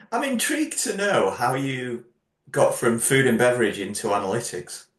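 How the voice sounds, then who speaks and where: A man's voice: medium pitch, expressive, a little slow, a bit bright. A man hosting an interview, chatty and upbeat as he asks his guest questions. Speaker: host. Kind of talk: career interview. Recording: roomy echo.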